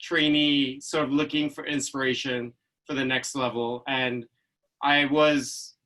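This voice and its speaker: Bright-voiced Trinidadian man. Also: mid-range voice, little pitch movement, measured pace. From Trinidad and Tobago. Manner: chatty, storytelling with fillers like "like" and "you know". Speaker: infectious disease physician guest. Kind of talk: relaxed anecdotal conversation.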